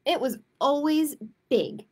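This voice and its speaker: Slow, higher-pitched woman at storytime. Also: animated, a little mellow. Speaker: children's librarian, storytime host. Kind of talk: picture book read-aloud and chatty announcements to young families.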